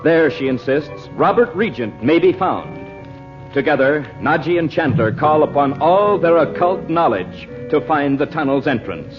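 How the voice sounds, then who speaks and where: A man's voice: fairly high pitch, expressive, normal speed. A man announcing an old radio serial in a formal, dramatic, scripted style. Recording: dull old radio recording with music. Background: music.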